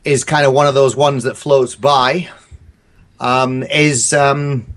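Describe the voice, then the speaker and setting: Male podcast guest, average pitch, informal film talk, hesitant phrasing. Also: little pitch movement, slow pace, a bit bright. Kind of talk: casual film chat.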